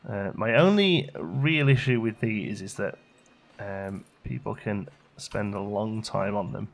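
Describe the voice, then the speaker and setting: Low, gravelly man commentating a game. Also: slow pace, faint music behind. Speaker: let's play video host. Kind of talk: casual gameplay commentary, thinking aloud.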